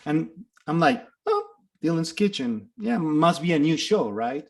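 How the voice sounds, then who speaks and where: Middle-aged mexican man, lively storyteller. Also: on the high side, a little slow, gravelly. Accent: Mexican Spanish. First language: Spanish. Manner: animated storyteller with frequent restarts and laughs. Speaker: guest actor. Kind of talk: casual interview chat.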